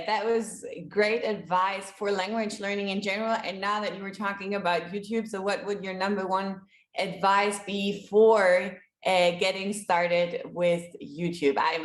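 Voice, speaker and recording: Woman moderator in an echoey room. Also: medium pitch. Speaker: panel moderator. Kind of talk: live conference panel moderating.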